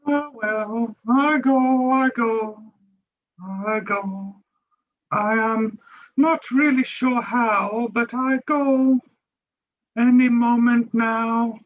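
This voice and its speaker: A woman playing a sly older shop madam in a stage play, with a Spanish accent and halting broken English. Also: a little high, fairly even intonation. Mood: reluctant. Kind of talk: theatrical play acting. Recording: dull muffled video-call audio.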